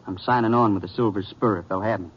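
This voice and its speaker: A man's voice: on the low side, fast, slight background noise, close to an everyday voice. An actor playing a stubborn young man of the Old West in a radio western, with an American accent and rural drawl. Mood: defiant. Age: young adult. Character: headstrong, defiant young cowboy, sheriff's younger brother. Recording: muffled vintage radio recording.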